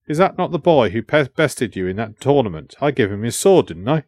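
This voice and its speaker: A man's voice: mid-range voice, sing-song, a little fast, a little mellow, husky, no echo. Defiant noble prince, acted by a male narrator, declamatory and proud, storybook battle drama, close dry recording. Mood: hushed.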